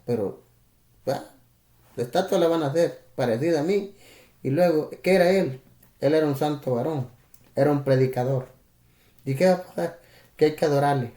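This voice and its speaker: A man's voice: mid-range voice, mellow, roomy sound. A man from El Salvador with a Salvadoran Spanish accent, a pastor preaching in a conversational way on a live stream, with rhetorical questions and asides to his listeners.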